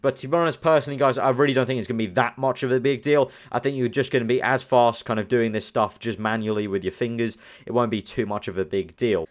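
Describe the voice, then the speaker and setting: A man's voice: medium pitch, fairly even intonation, fast, hardly any pauses. A young man from the UK, chatting casually as a gaming channel host, rambling and thinking aloud with lots of fillers. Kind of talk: casual commentary over screen.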